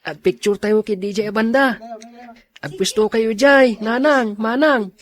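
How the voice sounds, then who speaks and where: An eager younger sibling who looks up to an older sister, played by a woman. The voice is fairly high, in radio drama style. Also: measured pace, lightly acted. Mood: excited. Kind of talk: radio drama acting in Ilocano.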